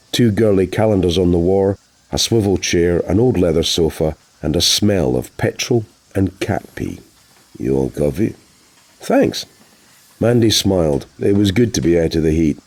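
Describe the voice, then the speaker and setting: A man narrates a novel for an audiobook. His voice sits very low and has a husky, roughened grain, delivering scripted prose as a storyteller. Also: moderate pace, darkish tone.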